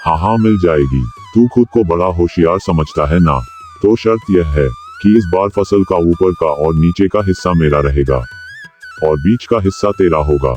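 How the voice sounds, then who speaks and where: A cartoon's greedy village landlord, played by a man, bossy and scornful toward the poor farmer. His voice sits very low and heavy, and the recording sounds a little dull, with faint music underneath. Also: moderate pace, darkish tone, close to an everyday voice.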